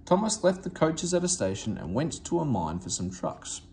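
A man reading a children's story aloud in an expressive, theatrical manner. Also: fairly high pitch, moderate pace, a little hiss or hum. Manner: animated, theatrical with character voices. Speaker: storybook reader.

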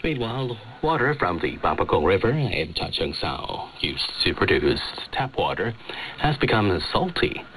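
A man's voice: low voice, sing-song, a little slow, a bit bright, a little hiss or hum, rough recording. A man presenting scripted radio news over a shortwave broadcast.

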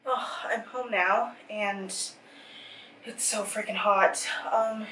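A woman's voice: mid-range voice, fairly even intonation, slow pace, pushed and bright, slight background noise, low-quality recording. A young woman in her twenties from Singapore, a photographer chatting casually to her camera in a rambling, filler-heavy way. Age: 20s. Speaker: vlogger, photographer. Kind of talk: casual vlog chat. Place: car, small office, then home room. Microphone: camera-mounted mic, held close or on tripod.

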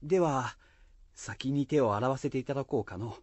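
A man's voice: mid-range voice, normal speed, a little mellow, no echo, lightly acted. A man playing a dignified, courteous samurai lord with stately archaic speech in a period radio drama. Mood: courteous, lordly.

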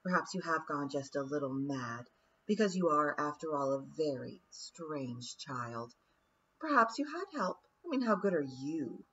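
A woman playing a cold, scheming fairy queen who talks slowly and mockingly. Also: low voice, animated, a bit bright. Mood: menacing. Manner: slow, hissing, mocking. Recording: dull lo-fi recording.

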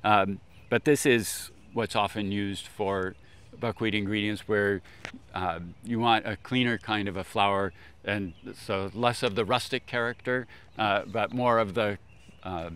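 An older man explaining things informally in a measured way, as an expert guest. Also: fairly low pitch, steady tone, fairly bright tone, clear, resonant tone. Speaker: expert guest, agricultural scientist. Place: outdoors on a lawn beside a food truck.

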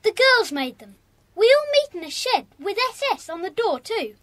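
Casting: keen British child for children's audio play, piping very high, animated, forthcoming. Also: female voice, moderate pace, dry recording, full character voice. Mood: eager. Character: eager, forthcoming child in a secret club. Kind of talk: children's audio dramatisation acting.

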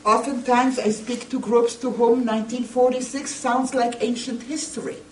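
A woman's voice: fairly high pitch, little pitch movement, a little mellow. An older woman gives a public lecture, speaking emphatically and with rhetorical questions. She is picked up from some distance in an echoey meeting room, with a little background noise. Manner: deliberate, emphatic, rhetorical questions, addresses listeners directly. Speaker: lecturer, museum educator. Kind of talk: public lecture to a seated group. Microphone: camera a few metres away. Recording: roomy, distant camera microphone with noise.

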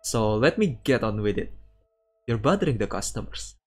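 A man's voice: on the low side, big pitch swings, moderate pace, soft background music. A man voicing a curt, defensive male store clerk in a visual novel, brusque and dismissive.

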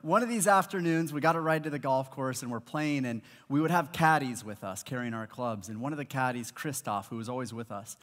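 A man's voice: medium pitch, brisk, hardly any pauses. A man in his thirties, a pastor from Malawi, preaching a sermon on stage with a headset mic and acting out the voices in his stories. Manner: animated storytelling preacher, acts out quoted voices. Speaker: high school pastor. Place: large church auditorium stage with audience. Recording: long reverb of a large hall.

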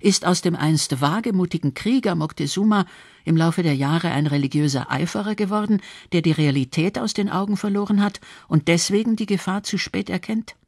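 A woman's voice: fairly low pitch, brisk, darkish tone, no echo, studio quality. A woman reading scripted documentary narration.

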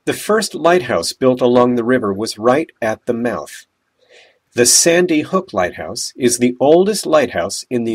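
A man giving calm, measured scripted narration for a documentary as a voice-over. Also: medium pitch, breathy edge.

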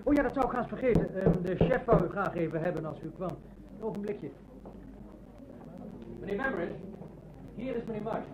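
A man's voice: high voice, lively intonation, moderate pace, long pauses. A man in an old Dutch radio drama playing an ambitious, grumbling bank teller. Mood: polite. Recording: muffled, noisy old radio play recording.

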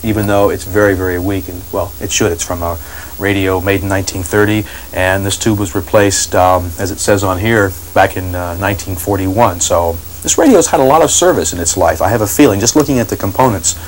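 A man's voice: low-pitched, a little fast, a little hiss or hum, rough recording. A man explaining as he works, hesitant and thinking aloud, on 1980s TV location sound. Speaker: radio restoration hobbyist. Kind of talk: unscripted explanation while working.

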